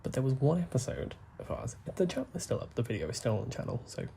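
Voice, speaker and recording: A young man, talking softly and rambling as he plays a game, full of fillers and asides. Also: medium pitch, sing-song, vocal fry, a little hiss or hum. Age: young adult. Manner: soft, deliberately hushed talk with frequent fillers. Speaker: gaming YouTuber. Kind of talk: rambling gameplay commentary.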